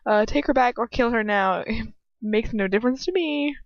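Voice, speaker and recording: A woman's voice: a little high, moderate pace, no echo, pitched above the performer's own voice, natural voice. A woman voicing a cruel, taunting villain in an exaggerated, mocking parody of a story. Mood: dismissive. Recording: muffled lo-fi home recording.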